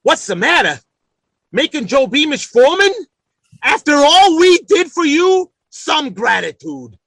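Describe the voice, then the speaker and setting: A blustering working-class family man in old-time radio comedy style. His voice is bright and swings widely in pitch, captured dry and close. He stammers through folksy, ungrammatical sentences and is quick to take offense. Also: sing-song, dry recording, exaggerated voice. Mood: indignant.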